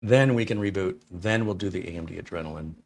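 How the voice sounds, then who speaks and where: Low-voiced, chatty older tech reviewer man. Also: fast, slight background noise. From Detroit, USA. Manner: rambling, talkative, blunt opinions. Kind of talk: casual live-stream chat answering viewer questions. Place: small home studio room.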